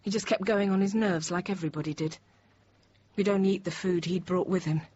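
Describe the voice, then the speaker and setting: An actress as a low-voiced, haunted teacher. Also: female voice, fairly even intonation, a little mellow, natural voice. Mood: haunted, reflective. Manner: reflective, confiding, short halting phrases. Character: anxious, tender 30-year-old French infant teacher recounting a siege. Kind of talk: radio drama monologue, intimate first-person narration. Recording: dull radio sound with faint noise.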